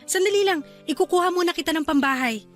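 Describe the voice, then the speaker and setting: A woman's voice: very high voice, quick pace, a bit bright. A woman with a Filipino accent acting a caring, hesitant young wife in a Tagalog radio drama. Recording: music behind the voice.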